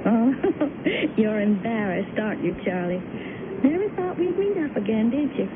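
A woman's voice: on the high side, expressive, moderate pace, noisy background, close to an everyday voice. An actress playing a playful, insistent young-hearted woman in a radio drama, teasing as she talks. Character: Mary, playful insistent woman greeting a lost acquaintance. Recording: muffled band-limited radio recording with music.

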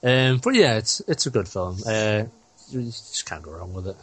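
A man's voice: on the low side, expressive, moderate pace, fairly bright tone, breathy edge. A young man chatting casually as a podcast co-host, laughing often and swearing freely. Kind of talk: casual chat.

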